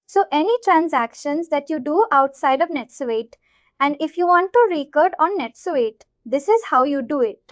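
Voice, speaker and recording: A woman with an Indian accent giving an informal live software tutorial, explaining things repetitively and checking that listeners follow. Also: high voice, expressive, normal speed, no room sound, dull audio. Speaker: software instructor.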